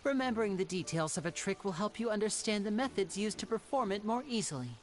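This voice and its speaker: A man's voice: high voice, animated, fast. A man playing a courteous, theatrical young male stage magician in a game. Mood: suave. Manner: smooth, courteous, teasing. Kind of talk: game cutscene voice acting. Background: game music.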